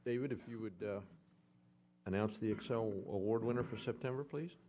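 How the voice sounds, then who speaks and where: A man's voice: fairly low pitch, fairly quick, dark tone, slight background noise. A man chairing a public board meeting, calling on a speaker and formally reading out an award plaque.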